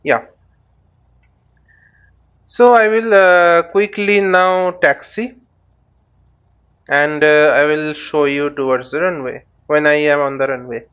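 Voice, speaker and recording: A man giving an unscripted step-by-step tutorial as an instructor, halting and thinking aloud, often posing questions and answering them himself. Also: a little high, fairly bright tone, clear, resonant tone, muffled recording. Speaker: flight simulator instructor. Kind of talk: unscripted tutorial with live demonstration. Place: voice-over recorded while using a flight simulator.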